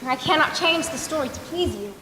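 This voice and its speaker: Casting: young girl playing clever, respectful young female storyteller, very high voice, formal stage delivery, echoing noisy hall. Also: animated, fairly quick, pushed and bright. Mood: calm, knowing. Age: young teenager. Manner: formal, theatrical projection. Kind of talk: school stage play acting. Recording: echoey hall, noisy distant stage recording.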